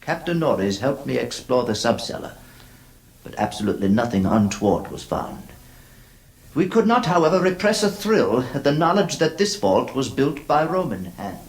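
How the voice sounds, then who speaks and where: An actor playing a haunted gentleman who narrates a horror radio play, intense, with dramatic pauses. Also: mid-range voice, very animated. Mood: insistent.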